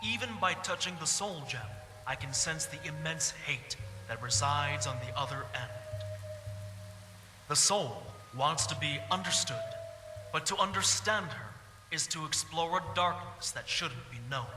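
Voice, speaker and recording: A man playing a brooding bard and former royal mage advisor in a fantasy game, speaking in measured, solemn, poetic phrases. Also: a little high, lively intonation. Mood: grave, cautious. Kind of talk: fantasy game roleplay dialogue. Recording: echoey hall, music playing behind.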